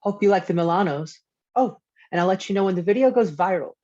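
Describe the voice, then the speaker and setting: A woman's voice: medium pitch, expressive, fast, mellow, lightly acted. A woman playing a precocious, dryly cheeky child in a comedy table read, heard over dull lo-fi call audio. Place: remote video call read.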